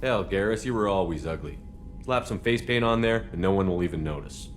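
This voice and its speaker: A man's voice: sits low, lively intonation, fairly quick, faint music behind. An actor playing a blunt male human commander who speaks firmly. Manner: blunt, firm.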